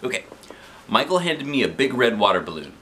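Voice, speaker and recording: A man reading a children's book aloud in an expressive, playful way, with chatty asides. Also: mid-range voice, brisk. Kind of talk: animated storybook read-aloud with chatty asides. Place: living room sofa, indoors. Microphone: camera a short distance away.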